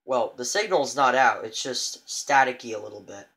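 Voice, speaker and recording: A man giving a casual show-and-tell as a vlogger, rambling and halting as he goes. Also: medium pitch, animated, creaky, fairly bright tone, slightly rough, low-quality recording. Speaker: vlogger showing a radio collection. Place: indoors in a room at home.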